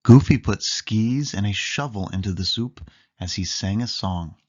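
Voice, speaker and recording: A storyteller reading a children's book aloud in an animated way, lingering on listed words. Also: deep voice, measured pace, highs cut off.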